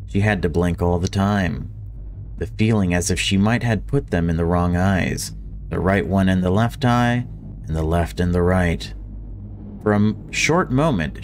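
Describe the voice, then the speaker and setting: Low-voiced man reading aloud over background noise. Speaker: gaming video creator reading a visual novel. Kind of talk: reading story text aloud, with casual commentary.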